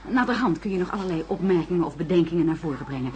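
An actress playing an attentive, gently firm secretary in a science fiction radio drama. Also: female voice, lowish voice, animated, rapid-fire, close to an everyday voice.

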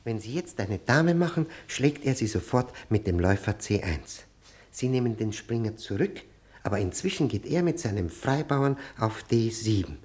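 A man's voice: on the low side, very animated, normal speed, a little mellow. An actor playing a nervous, obsessive chess expert in his forties in a radio play, giving urgent, precise instructions. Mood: urgent, absorbed. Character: pale nervous stranger of forty-five, obsessive chess expert.

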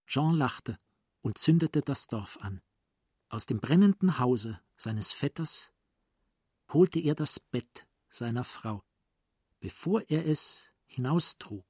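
Husky, deliberate man narrating a drama. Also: mid-range voice, darkish tone, no echo, studio quality. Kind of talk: dramatic literary radio play narration.

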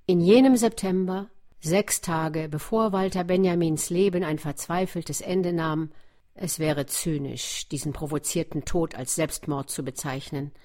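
A woman's voice: on the low side, warm tone. A woman reading scripted narration for a radio documentary.

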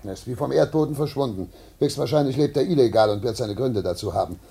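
An actor playing a stern, irritable investigator with an imperious, commanding manner in a German radio play. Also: male voice, mid-range voice, lively intonation, quick pace, vocal fry, mellow, husky. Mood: frustrated, explaining. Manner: imperious, barking orders, clipped commands. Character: stern, irritable male investigator interrogating war crime suspects. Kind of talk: German radio play acting, partly narrating.

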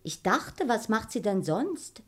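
A woman's voice: on the high side, sing-song, slow. A woman playing a naive, homely wife from a folk puppet tale, in a radio play. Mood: naive wonder.

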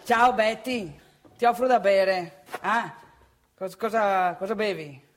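A woman's voice: medium pitch, slow, soft background music, lightly acted. A woman performing a comic stage monologue as a brash, grumbling provincial man with a northeastern Italian accent, colloquial, boastful and repetitive. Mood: cocky, rebuffed. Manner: colloquial, boastful, repeats phrases, addresses listeners directly. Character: brash, grumbling provincial young man chasing women in bars.

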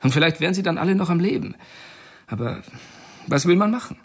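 A husky voiced prisoner confides in a radio play, his voice rising and falling with lively swings. He sounds uneasy and confessional, as if owning up to something he regrets. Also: high voice, fast talker. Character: Floyd Wells, male prisoner and informant. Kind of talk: radio drama acting.

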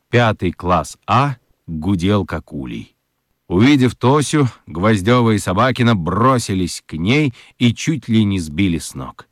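A man narrating a children's radio drama as an expressive storyteller, reading scripted literary prose. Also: mid-range voice, dry recording, studio quality.

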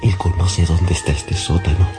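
Un actor de radionovela que interpreta a un pastor protestante temeroso y fanáticamente devoto, predicador, ferviente y repetitivo. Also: male voice, low-pitched, level tone, moderate pace, loud music behind the voice, close to an everyday voice. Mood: fervent, fearful. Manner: preachy, fervent, repetitive. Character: fearful, fanatically devout Protestant pastor. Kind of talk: radio drama acting.

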